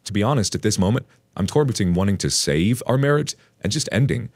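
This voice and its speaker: A man reading an online post aloud as a storytelling narrator, in an expressive read. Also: fairly low pitch, quick pace, no room sound.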